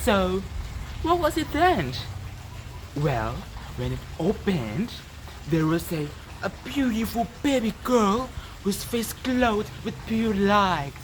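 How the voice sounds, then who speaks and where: A teenage student from Indonesia with an Indonesian accent tells a folktale dramatically, with deliberate, emphatic pauses. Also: medium pitch, big pitch swings, noisy background. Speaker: student storyteller. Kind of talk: dramatic oral storytelling for a competition.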